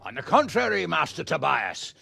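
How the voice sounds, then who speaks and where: A man acting a hot-tempered, sardonic, foul-mouthed medieval lord in a video game, with a raspy, blustering delivery. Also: on the high side, sing-song, moderate pace, sharp tone, faint music behind, full character voice. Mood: sarcastic. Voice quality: raspy, gravelly. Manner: sarcastic, blustering, profane.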